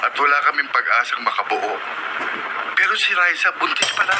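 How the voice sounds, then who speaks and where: A man's voice: on the high side, big pitch swings, brisk. Eager Filipino would-be father, heavy noise. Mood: excited, hopeful. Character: hopeful, eager husband longing to be a father. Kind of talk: Filipino radio drama acting. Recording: very noisy radio drama sound.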